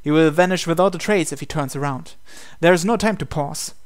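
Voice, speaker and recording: A man's voice: on the high side, fairly quick, clear, resonant tone. A man hosting a gaming channel, reading visual novel narration aloud.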